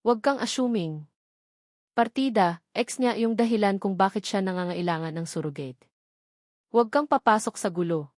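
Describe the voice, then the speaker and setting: A woman's voice: medium pitch, normal speed, long pauses, darkish tone, dry recording, studio quality, lightly acted. Woman voicing a polite, anxious young heroine. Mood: self-scolding. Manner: polite, guarded. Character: modest, polite, brave but anxious young surrogate mother. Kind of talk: audio drama heroine dialogue.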